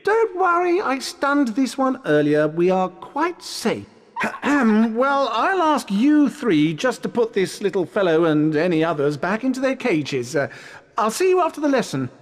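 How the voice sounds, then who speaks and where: An actor playing a vain, showy British wizard professor in a video game, pompous and self-satisfied. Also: male voice, high voice, sing-song, unhurried, long reverb tail. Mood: pompous, reassuring. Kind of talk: video game character acting.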